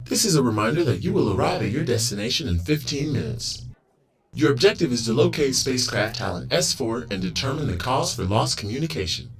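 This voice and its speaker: A man's voice: mid-range voice, lively intonation, fairly quick, creaky, slightly rough, rough recording. A man playing an impassive spaceship command voice, giving clipped official announcements in a sci-fi audio drama. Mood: formal, impassive.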